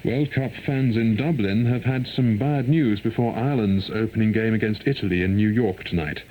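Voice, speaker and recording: A man reads a formal, measured world news bulletin, heard over shortwave radio. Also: fairly low pitch, phone-quality sound. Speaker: radio newsreader. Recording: noisy 1990s shortwave radio reception.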